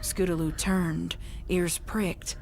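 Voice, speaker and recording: A woman reading a dark story as dramatic scripted narration. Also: lowish voice, little pitch movement, takes it slowly. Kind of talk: dramatic scripted narration of horror fan fiction. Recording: clear music behind the voice. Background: music.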